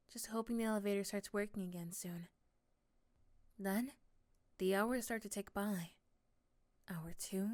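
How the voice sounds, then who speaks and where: A woman softly narrating a scripted second-person ASMR story, hushed and often close to a whisper. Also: mid-range voice.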